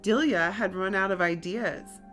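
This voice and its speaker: A woman reading a children's story aloud in a deliberate, expressive storytime style. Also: medium pitch, soft background music.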